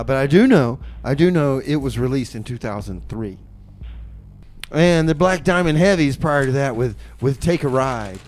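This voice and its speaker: A man's voice: mid-range voice, a little mellow, a little hiss or hum. A man hosting a community radio show with casual, improvised chat and announcements, hesitant and folksy, often repeating his phrases.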